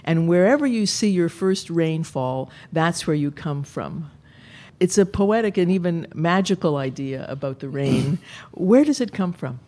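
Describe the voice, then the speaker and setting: Measured woman radio host, dark tone. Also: lowish voice, lively intonation. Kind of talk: scripted radio introduction, then onstage interview.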